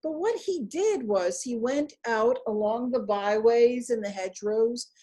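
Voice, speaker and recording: A woman telling a folktale aloud in an expressive, deliberate manner with emphatic pauses. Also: a little high. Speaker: storyteller. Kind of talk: live oral folktale storytelling, dramatic.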